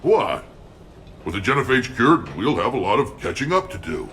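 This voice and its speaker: An actor playing a gruff, proud alien warrior leader in a video game, blunt and commanding. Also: male voice, mid-range voice, very animated, moderate pace, a bit bright, slight background noise.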